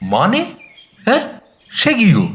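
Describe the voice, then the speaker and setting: High-pitched, animated male storyteller. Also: slow pace. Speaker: narrator. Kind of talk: dramatised story narration with conversational asides. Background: background music.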